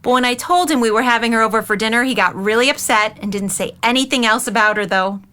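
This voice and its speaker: An exacting, anxious mother in a naturalistic audio drama. Her middle-aged voice is high and bright, and she speaks with earnest insistence. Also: fast talker. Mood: earnest, worried. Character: anxious, exacting, well-meaning mother and aunt.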